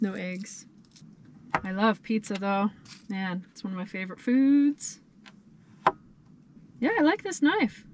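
A woman's voice: medium pitch, darkish tone, a little hiss or hum. A woman in her thirties vlogging from inside a parked minivan camper, cheerfully thinking aloud as she cooks. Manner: chatty and cheerful, thinking aloud while cooking. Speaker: van camping vlogger. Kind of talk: casual cooking vlog. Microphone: camera mounted in the van.

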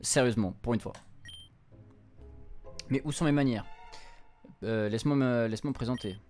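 A man's voice: medium pitch, normal speed, a little mellow. A young man gives a casual let's-play commentary at a close mic. He reads and translates game text on the fly, informally and with some stumbling. Game music plays behind his voice. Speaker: streamer, let's-play host.

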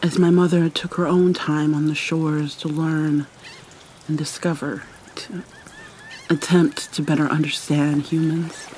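A woman performs a haunted mermaid cursed with vampirism in an ASMR roleplay. She speaks very slowly and mournfully, with long pauses, her soft breathy voice often sinking to a whisper. Steady rain runs behind her. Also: deep voice, a little hiss or hum. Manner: halting, mournful, dramatic pauses. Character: vampire mermaid, sorrowful young-looking princess, centuries old, haunted. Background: rain ambience.

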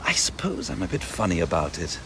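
A diffident, over-polite British man, fairly low but swooping in pitch. He sounds hesitant and formal, in a radio drama scene with clear outdoor noise behind. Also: very animated, fairly quick, a bit bright, full character voice. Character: diffident, awkwardly courteous male local admirer, quietly insistent. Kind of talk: naturalistic radio drama dialogue.

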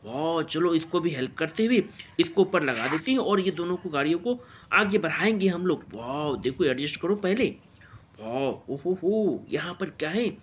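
A man's voice: a little high, expressive, moderate pace, slight background noise. An Indian man improvising play-by-play narration of toy play for children in an exclamatory way, with frequent wows and interjections. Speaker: presenter of toy play videos.

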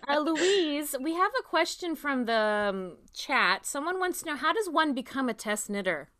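A woman's voice: high-pitched, very animated, moderate pace, a bit bright. A woman in her forties hosting a casual knitting livestream over a laptop mic, bubbly and enthusiastic. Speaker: host. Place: home room with yarn shelves. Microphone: laptop webcam mic.

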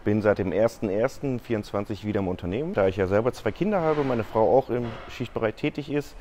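A man's voice: fairly low pitch, fast, dark tone, a little hiss or hum. A man in his forties, a warehouse employee speaking in a plain, matter-of-fact way, recorded in a large warehouse on a lapel mic. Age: 40s. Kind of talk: corporate video interview.

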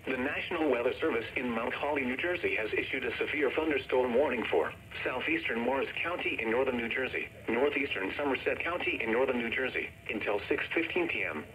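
A synthetic male voice reading a scripted severe weather warning bulletin as an automated announcer, formal and measured, played through a radio speaker. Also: medium pitch, a bit bright. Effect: synthetic text-to-speech voice. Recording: noisy weather radio speaker recorded in room.

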